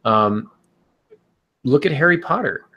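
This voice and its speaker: A man's voice: mid-range voice, expressive, slow pace, lo-fi sound. A man talking conversationally as a podcast guest, giving long-winded advice on writing fiction and thinking aloud as he goes.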